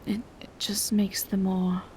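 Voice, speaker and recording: Gentle vampire traveler, played by a woman: mid-pitched female voice, restrained melody, soft and courteous, audio drama with some ambient noise. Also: level tone, unhurried, lightly acted. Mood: uneasy, hushed. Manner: soft-spoken, polite, hesitant. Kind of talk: roleplay audio drama addressed to the listener.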